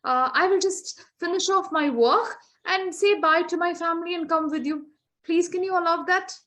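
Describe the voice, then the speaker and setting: A woman's voice: very high-pitched, normal speed, fairly bright tone, pitched above the performer's own voice. A woman voicing a crafty old man who pleads and hesitates to stay alive. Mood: pleading.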